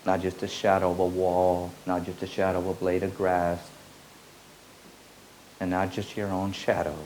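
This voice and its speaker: Deep, flat-voiced man teaching slowly. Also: slight background noise, rough recording. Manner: repetitive, incantatory phrasing. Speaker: spiritual teacher. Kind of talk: slow meditative spiritual teaching.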